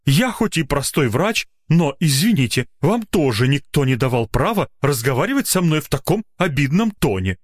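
A man's voice: high voice, very animated, moderate pace, dry recording, clean studio sound, voice pushed up. A man voicing an indignant, blustering, officious doctor in a narrated story, easily flustered and outraged. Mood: offended. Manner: officious, blustering, self-justifying.

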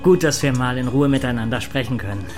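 A man's voice: medium pitch, fast, music in the background, natural voice. An actor playing a loyal, insecure male radio producer with a plain-spoken, conversational delivery in a German radio drama. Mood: eager.

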